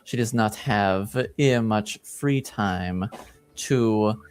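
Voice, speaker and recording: A man playing a haughty, shrewd duke in a tabletop game, formal, deliberate and skeptical. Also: lowish voice, a little mellow, clear, resonant tone. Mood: dismissive, haughty.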